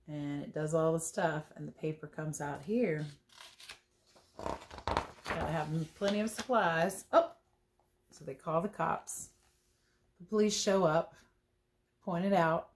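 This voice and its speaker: A woman reading a picture book aloud as a teacher would, expressive and playful, with chatty asides about the pictures. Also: fairly low pitch. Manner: playful, chatty, commenting on the pictures as she goes. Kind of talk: storybook read-aloud with casual asides.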